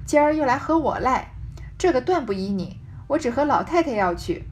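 A woman reading the part of a teasing, sharp-tongued married noblewoman in a storybook, with pointed, mock-scolding banter. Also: high-pitched, lively intonation, normal speed, a little hiss or hum, pitched above the performer's own voice.

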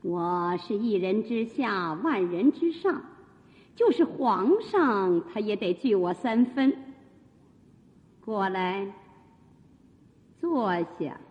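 Slow, swooping, imperious empress. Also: female voice, medium pitch, very animated. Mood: coaxing, haughty. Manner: haughty, coaxing, commanding. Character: imperious, scheming empress, falsely kind, commanding woman. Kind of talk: theatrical Chinese radio drama acting. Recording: muffled radio drama with long reverb.

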